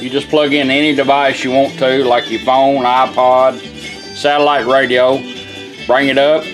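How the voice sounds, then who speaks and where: A man's voice: medium pitch, level tone, moderate pace, rough recording. A man giving a casual, hands-on product demonstration in plain, folksy, informal words. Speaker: product demonstrator, radio restorer. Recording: music playing from a radio behind.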